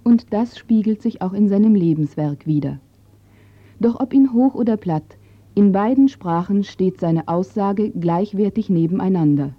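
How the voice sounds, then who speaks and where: A woman narrating a radio feature, reading a script in a calm, even way. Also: medium pitch, normal speed, no room sound, highs cut off.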